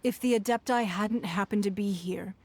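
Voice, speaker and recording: A woman's voice: mid-range voice, moderate pace, darkish tone, a little hiss or hum, close to an everyday voice. A woman voicing a poised, shrewd female city leader in a video game, speaking in a composed, formal, persuasive manner. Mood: diplomatic.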